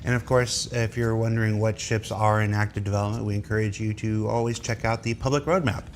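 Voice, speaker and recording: Low-voiced man hosting a casual Q&A. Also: fairly even intonation, a little fast, a little hiss or hum. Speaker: host of a developer question session. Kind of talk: casual panel moderating, reading out questions.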